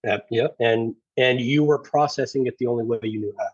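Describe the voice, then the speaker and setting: A man talking as a podcast guest in casual conversation, earnest and halting, with frequent restarts. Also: mid-range voice, darkish tone, husky, dry recording, muffled recording. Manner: earnest, halting, many restarts and "you know"s. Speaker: podcast guest, former federal officer and navy corpsman.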